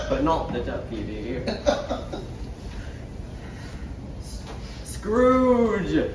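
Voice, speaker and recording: Man playing a slow-talking comic ghost, distant and echoey. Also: high voice. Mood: haunting, comic. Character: comic male ghost weighed down with chains, complaining. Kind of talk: rhyming stage pantomime. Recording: distant, echoey stage recording with noise.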